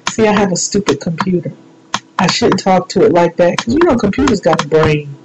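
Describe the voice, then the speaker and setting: A woman's voice: on the low side, expressive, a little fast, noisy background. A woman hosting an informal live show, chatting in a playful, rambling, self-deprecating way. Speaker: radio show host.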